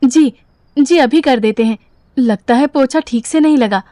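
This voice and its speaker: A woman's voice: high voice, a little slow, dark tone, no echo, studio quality. An actress playing a timid, coy young woman in an audio drama, hesitant and deferential as she placates. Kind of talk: Hindi audio drama acting.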